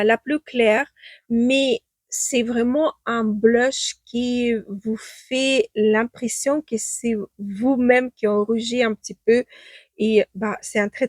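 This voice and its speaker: A woman who is not a native speaker talks through her favourite makeup in a casual vlog. Her voice sits fairly high and she speaks slowly, pausing on fillers and restarting phrases. The sound is dry and close. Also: no room sound. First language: not French. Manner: hesitant, many fillers and restarts; enthusiastic superlatives. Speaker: beauty vlogger. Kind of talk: casual makeup review to camera. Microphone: own microphone, at times off.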